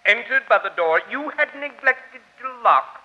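A man's voice: extremely high pitch, animated, normal speed, highs cut off. A man playing a small, fussy, pompous would-be crime-fighter with florid formal diction in an old-time radio drama. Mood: self-satisfied, precise. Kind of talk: 1940s radio acting.

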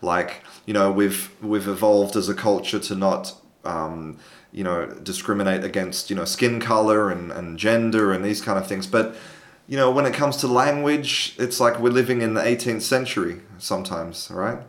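Male interviewer, easygoing and hedging, lower voice in an echoey room. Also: moderate pace. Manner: easygoing, hedging with "you know" and "sort of". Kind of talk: conversational interview.

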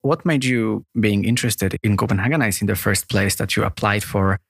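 A man's voice: lowish voice, a little fast, gravelly, no room sound, clean studio sound. A man hosting a podcast, speaking in an earnest, careful and slightly formal way as he introduces and interviews.

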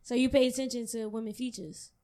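A young woman co-hosting a casual chat, cutting in with quick banter. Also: a little high, steady tone, warm tone. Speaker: podcast co-host.

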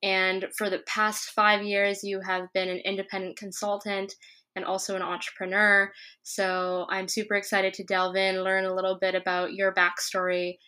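A woman's voice: mid-range voice, monotone, moderate pace, sharp tone. A woman hosting a podcast interview, opening with an upbeat scripted introduction before chatting with her guest. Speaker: podcast host.

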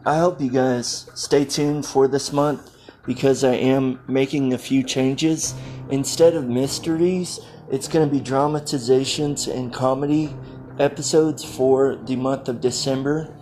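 Casual male podcast host. Slow, halting delivery, medium pitch, faint music underneath. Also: fairly even intonation, a little mellow.

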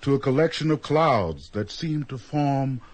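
A man with a husky voice narrating a scripted radio drama in a measured, dramatic storytelling manner. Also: mid-range voice, animated.

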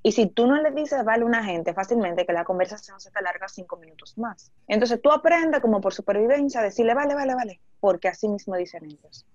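A woman's voice: medium pitch, fast, hardly any pauses, no echo. A woman chatting casually, teasing and acting out quoted lines. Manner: lively, mocking, acts out quotes. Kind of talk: casual chat. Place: living in Spain. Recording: dull lo-fi dry sound.

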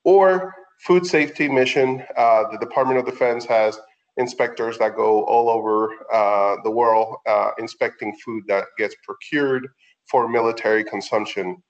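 A middle-aged man with a Puerto Rican accent and Spanish as his first language speaks in a conversational interview style. His pitch is average and his phrasing is halting. The recording is a little dull and lo-fi. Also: fairly even intonation. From Puerto Rico. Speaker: veterinarian, podcast guest.

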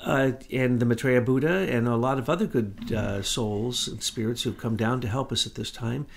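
A middle-aged man co-hosting a political commentary talk, talking fast and insistently. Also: mid-range voice, creaky. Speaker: co-host.

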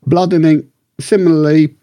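A man's voice: a little high, little pitch movement, normal speed, dark tone, no echo. A man co-hosting a podcast in a casual review chat, rambling and correcting himself with enthusiasm. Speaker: podcast co-host reviewing books and radio dramas.

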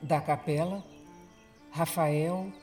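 A narrator delivering scripted dramatic narration for a horror radio drama in a measured, deliberate storytelling manner. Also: medium pitch, fairly even intonation, darkish tone, faint music behind.

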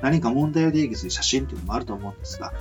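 Lively-pitched man hosting on dull lo-fi radio audio. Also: very fast, soft background music. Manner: hesitant, searching for words, politely earnest. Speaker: radio host. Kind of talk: rambling reflective monologue for an amateur radio show.

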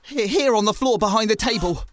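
A woman's voice: mid-range voice, expressive, brisk, slight background noise, lightly acted. A woman playing an indignant, blustering young husband in an audio comedy drama, blunt and huffy in delivery. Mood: urgent, blustering.

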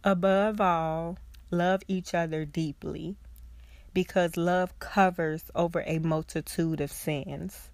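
Congested woman host, slow and low. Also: no echo. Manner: earnest, heartfelt, slow and reflective. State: congested with a cold. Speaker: podcast host, social worker. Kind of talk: casual solo podcast talk.

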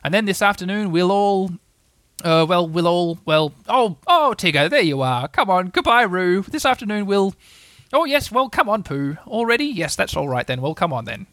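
A man voicing a bossy, self-important, fussy rabbit in a children's storybook, with a brisk, officious manner. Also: a little high, lively intonation, creaky, a bit bright, dry recording, heavily acted. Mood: flustered. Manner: brisk, officious, hesitating when flustered.

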